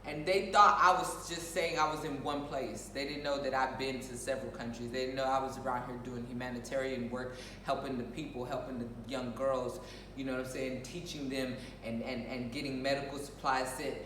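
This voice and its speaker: A woman's voice: extremely low pitch, little pitch movement, a little fast, fairly bright tone. Echoey, distant, outspoken American woman. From United States. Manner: emphatic, outspoken, repeats phrases for emphasis. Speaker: show co-host. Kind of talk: casual opinionated chat. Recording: roomy echo, distant mic, faint noise.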